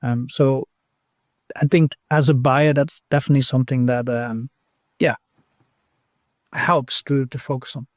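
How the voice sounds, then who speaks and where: A man giving conversational interview answers as a podcast guest, in a measured, hesitant way as he thinks aloud. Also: medium pitch, steady tone, no room sound. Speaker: podcast guest, recruitment technology professional.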